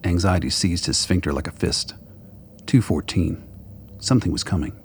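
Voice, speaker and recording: Deep gravelly male story narrator. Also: moderate pace, a little hiss or hum. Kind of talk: scripted dramatic story narration.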